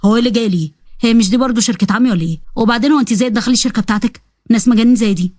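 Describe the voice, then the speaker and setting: A woman's voice: fairly high pitch, rapid-fire, a little mellow, no echo, clean studio sound, lightly acted. Woman doing a leering Egyptian bully. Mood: arrogant. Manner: mocking, arrogant. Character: brash young man, leering bully.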